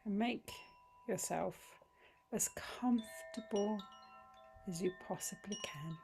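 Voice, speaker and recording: A woman guiding a yoga nidra meditation in a soothing manner, speaking in short phrases with long pauses. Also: medium pitch, vocal fry. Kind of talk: slow guided meditation. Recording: voice over chime music bed. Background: meditation music with chimes.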